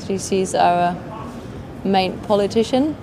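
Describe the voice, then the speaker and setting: A woman's voice: medium pitch, slow. A young woman from Germany, answering casually outdoors. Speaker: street interviewee. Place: city street outdoors. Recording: outdoor street noise.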